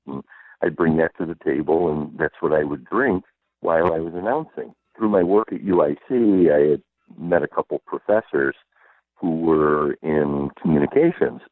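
A man with a baritone voice, a veteran announcer, telling stories casually as a radio interview guest over the phone. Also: moderate pace, no room sound. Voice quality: booming baritone. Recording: muffled narrow phone line.

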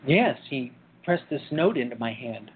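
A man acting in a radio play as a despondent young man with a husky voice, hesitant and defensive, sometimes stammering. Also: mid-range voice, animated, normal speed. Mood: brooding, uneasy. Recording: tinny, phone-like narrow-band audio.

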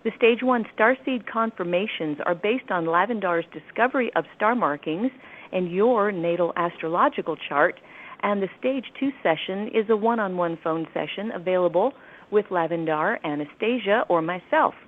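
A woman's voice: mid-range voice, normal speed. Woman host on a tinny phone line. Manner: friendly, laughs often. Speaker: radio show host. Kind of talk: show announcements and introductions.

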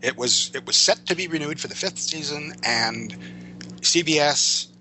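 Bright-voiced older American man, discursive talker. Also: a little high, a little hiss or hum, rough recording. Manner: discursive, halting, self-correcting. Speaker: podcast guest, veteran writer. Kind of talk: reminiscing interview conversation.

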